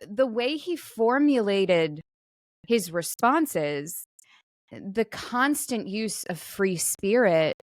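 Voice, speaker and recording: A woman's voice: mid-range voice, dry recording. A woman with a mid-range voice, recorded close and dry. She chats casually as a podcast co-host, with a frank, wry, conversational way of talking. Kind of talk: casual comedic chat.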